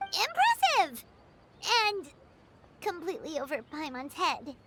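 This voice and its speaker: A woman playing a small, excitable, cheeky fairy girl companion in a video game, with a squeaky, childlike voice and bossy chatter. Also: a little slow, slight background noise, heavily acted. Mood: flustered, excited. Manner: exclaiming, speaks of herself in third person. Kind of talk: animated video game character acting. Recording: music behind the voice. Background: music.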